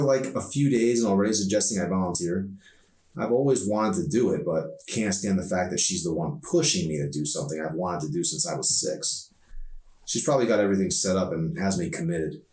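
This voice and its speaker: A man's voice: low voice, brisk, a little mellow, close to an everyday voice. A man voicing a chatty, opinionated, frustrated teenage girl in slangy, emphatic storybook dialogue. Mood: exasperated. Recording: roomy echo, distant microphone.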